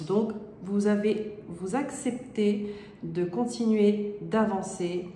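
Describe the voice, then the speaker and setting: A woman's voice: mid-range voice, takes it slowly, warm tone. Woman with a cold reading tarot cards. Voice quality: stuffy nose. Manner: hesitant, many fillers and rephrasings; checks in with "d'accord?" often. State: has a cold. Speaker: tarot card reader. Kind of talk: casual spoken tarot reading. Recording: roomy echo with faint room noise.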